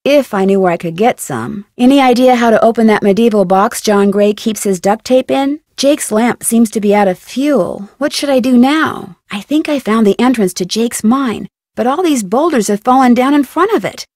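A woman playing a young American amateur detective in a video game, curious and polite, speaking clearly in an inquisitive way. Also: on the high side, animated, normal speed, hardly any pauses, a little mellow, dry recording, studio quality, natural voice. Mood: curious, puzzled. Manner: inquisitive, clearly enunciated, courteous. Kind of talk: video game dialogue acting.

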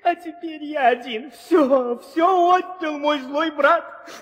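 A man playing a childlike, excitable and forgetful monkey king in an old audio play, full of naive questions and repeats. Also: extremely high pitch, slow, exaggerated voice. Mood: tearful, wailing. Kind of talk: 1960s Soviet audio play acting. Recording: old studio record with long reverb.

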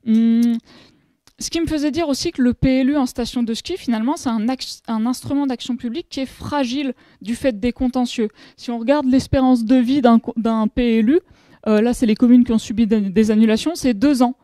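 A woman in her thirties speaks at a panel table in a conference room. Her voice sits fairly high but has a mellow, warm tone. The sound is dry and close, and she explains step by step, often pausing and restarting. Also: no room sound. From France. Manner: explanatory, many hesitations and restarts. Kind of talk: conference presentation.

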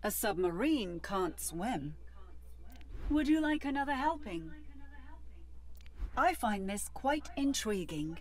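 A woman's voice: mid-range voice, very animated, takes it slowly, long pauses. An actress playing a composed female hero in video game voice lines.

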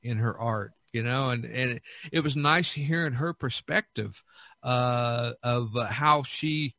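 A man's voice: medium pitch, measured pace, bright, forceful tone, no room sound, clean studio sound. A man chatting casually as a podcast host, reminiscing in a rambling way that repeats and circles back.

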